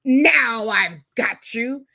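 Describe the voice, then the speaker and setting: Storybook villain magician, played by a woman reader: bossy, gloating, crowing delivery with animated pitch. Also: extremely slow, creaky, full character voice. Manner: crowing, demanding.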